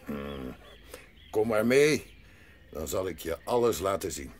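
An actor playing a gruff, grumpy old mountain grandfather in a Dutch audio drama, barking and muttering to himself. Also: sits low, expressive, slow pace. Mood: grudging.

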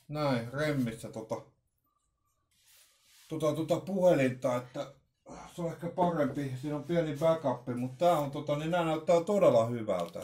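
Mellow-voiced man thinking aloud at home. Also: medium pitch. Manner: halting, thinking aloud with many fillers and restarts. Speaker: vlogger unboxing radios. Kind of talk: casual unboxing commentary. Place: small room at home with shelves. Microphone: webcam at desk.

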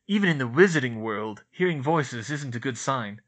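A man's voice: mid-range voice, expressive, moderate pace, a bit bright. A man voicing a blunt, cheeky schoolboy wizard.

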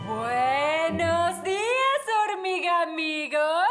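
A woman's voice: very high-pitched, expressive, very slow, fairly bright tone, faint music behind, exaggerated voice. A woman voicing a showy cartoon contest host with a theatrical, announcing manner. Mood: welcoming. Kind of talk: Spanish-dubbed cartoon voice acting.

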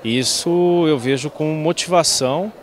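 A man in his thirties, a student, giving a plain, measured interview answer into a handheld mic in a large sports hall. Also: on the high side, a little hiss or hum. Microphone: handheld mic held up by a reporter.

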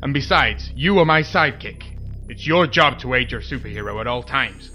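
An actor playing a self-important, penny-pinching superhero in a comedy audio drama, earnest and declamatory. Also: male voice, medium pitch, lively intonation, normal speed, sharp tone, faint music behind.

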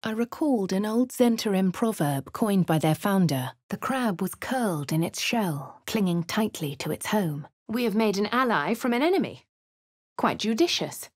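Posh female adventurer, crisp English delivery, lowish pitch, dry studio sound. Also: darkish tone, no echo. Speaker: player character voice lines. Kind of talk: game voice lines and narration.

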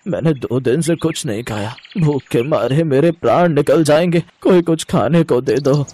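An actor playing a starving poor village beggar in a storybook tale, husky and plaintive. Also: male voice, fairly high pitch, normal speed, warm tone. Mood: desperate pleading. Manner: pleading, plaintive.

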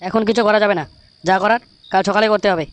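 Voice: medium pitch, fast talker, close to an everyday voice. A performer voicing a foul-mouthed, irritable young male cartoon buddy in a comic dub. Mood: scheming, annoyed. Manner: crude, swearing, brash.

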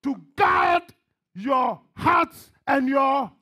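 Ghanaian preacher guy, really high husky voice, swings up and down, emphatic and shouty, repeats himself. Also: expressive, extremely slow. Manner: emphatic, often shouting, repeats phrases and questions to the congregation. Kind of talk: fervent, call-and-response sermon with pauses for interpreter. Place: church hall, on stage before a congregation.

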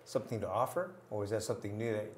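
A man's voice: on the low side, animated, rapid-fire, slight background noise. An older man hosting a community TV interview in a small studio, asking questions and giving short encouraging replies. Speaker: TV talk show host.

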